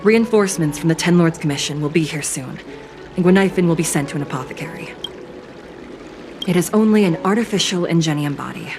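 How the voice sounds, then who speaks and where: A woman playing a composed, emotionless judge with an artificial body, speaking in a measured, formal way for a video game. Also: sits low, background noise. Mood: calm, detached.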